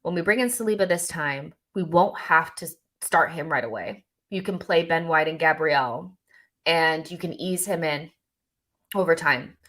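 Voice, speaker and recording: Woman, low-pitched voice, young adult, live stream host, chatty, lots of ums and restarts. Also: a little slow, a bit bright. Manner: chatty, thinks aloud with many ums and restarts. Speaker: channel host reading live chat. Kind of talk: live stream Q&A, casual chat.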